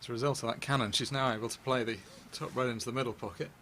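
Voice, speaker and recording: A man's voice: mid-range voice, steady tone, a bit bright, a little hiss or hum. A man co-commentating live on a pool match, joking and teasing his partner. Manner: quick, teasing, chuckles often.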